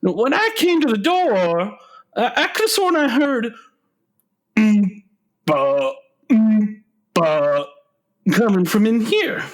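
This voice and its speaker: A man plays an earnest grown son, anguished over his music, in a comic radio drama. His voice is slightly high and he speaks slowly, halting for melodramatic weight. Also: sing-song, full character voice. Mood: shocked, hurt. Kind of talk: comic radio play acting.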